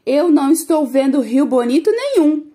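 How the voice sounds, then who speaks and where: Storybook little boy, eager and full of questions, high and bouncy, as a woman puts him on. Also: very animated, normal speed, dark tone. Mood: curious. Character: curious excited little boy.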